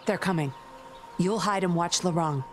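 A woman's voice: fairly low pitch, normal speed, slight background noise, lightly acted. A woman playing a cool, self-assured undercover investigator in a game, composed, knowing and a little teasing. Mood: composed, sly.

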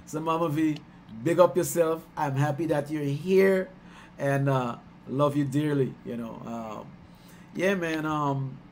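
A fairly high-voiced middle-aged man from Guyana chats on a headset in a small home room. He is warm and talkative, laughing often and getting animated as he tells his stories, and a faint hiss of noise sits under his voice. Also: unhurried. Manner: warm, chatty, laughs often, gets excited recounting stories. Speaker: musician hosting his album release stream. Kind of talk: casual chat on a video call. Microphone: headset on a video call.

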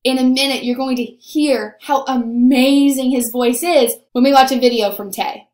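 A young woman teacher giving a recorded lesson to young children, explaining simply and deliberately, on a computer mic in a small room. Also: sits high, steady tone, darkish tone. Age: young adult. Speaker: elementary school teacher. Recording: roomy, echoing home recording.

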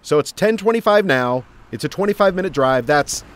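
An actor playing an easygoing suburban dad in a family audio drama. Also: male voice, on the high side, animated, brisk, lightly acted.

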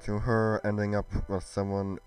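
A man playing a stern, spiteful god who speaks in solemn, halting pronouncements in an amateur scripted roleplay. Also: fairly low pitch, completely flat delivery, darkish tone, faint music behind, natural voice, poor audio. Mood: cold, menacing. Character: stern, spiteful male god passing judgement.

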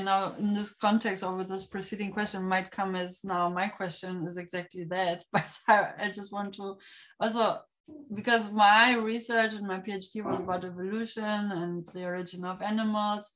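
A middle-aged woman hosting a podcast, asking her guest questions over a video call in a searching, halting way. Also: medium pitch, poor audio. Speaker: podcast host. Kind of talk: interview questions.

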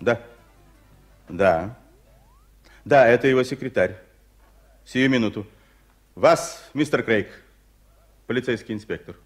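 Reserved, clipped private secretary. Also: male voice, mid-range voice, expressive, takes it slowly, pauses often, faint music behind. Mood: tense, reporting. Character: reserved male private secretary, embittered avenger. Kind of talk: Soviet radio play acting.

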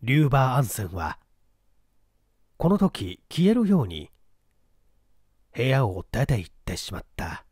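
A man narrating a mystery novel as a dramatic literary reading, with theatrical, deliberate phrasing. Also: mid-range voice, wildly swooping pitch, darkish tone, slightly rough, no echo, clean studio sound. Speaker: audiobook narrator.

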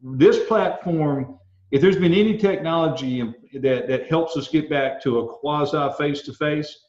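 A man's voice: a little high, normal speed. Rambling man in an echoey room. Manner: rambling, animated storyteller. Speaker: co-host. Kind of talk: casual chat. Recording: roomy, dull lo-fi sound.

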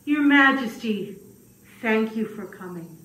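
A woman's voice: fairly high pitch, lively intonation, fairly bright tone. A woman voicing a humble, fretful old man who tells his puppet-show tale in a dramatic, hesitant way. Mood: courteous. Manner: slow, dramatic, hesitant telling with wailing exclamations. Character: humble, fretful old male grass cutter, puppet storyteller. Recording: distant, echoey room with faint noise.

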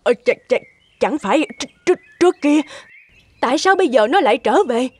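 A woman's voice: sits high, animated, brisk, a little mellow. Panicky, stammering scheming stepmother. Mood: panicked. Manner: stammering, frantic. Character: guilty, panicky scheming old stepmother, fearful of ghosts. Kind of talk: animated fairy tale voice acting. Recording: music under the voice.

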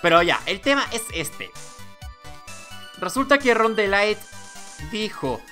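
A man's voice: high-pitched, big pitch swings, slow pace, pushed and bright, faint music behind. A man giving casual commentary as he plays a game for viewers. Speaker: game streamer.

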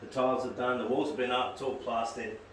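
A man's voice: medium pitch, level tone, quick pace, a bit bright, a little hiss or hum. A middle-aged man giving casual, practical how-to commentary in short plain phrases while he works, presenting as a tradesman. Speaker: tradesman presenting a renovation video. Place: small tiled bathroom under renovation, indoors. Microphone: camera a few steps away. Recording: roomy echo, distant camera mic, music.

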